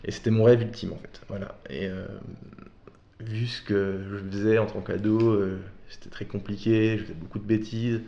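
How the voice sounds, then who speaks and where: A man's voice: on the low side, level tone, normal speed, gravelly, warm tone. A man from Belgium talking casually as an interview guest, telling personal stories in a candid, informal, slangy way. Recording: dull, roomy echoing sound.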